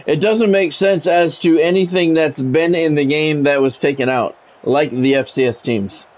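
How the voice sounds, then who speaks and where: A man's voice: a little high, normal speed, darkish tone. A man livestreaming a game, mixing casual, opinionated chat with sports play-by-play commentary. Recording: dull lo-fi audio, music behind. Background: music.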